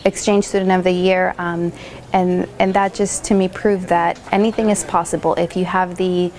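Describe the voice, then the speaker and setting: A woman who coordinates a school program, explaining it in an interview in a measured way with fillers. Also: on the low side, level tone, darkish tone, a little hiss or hum.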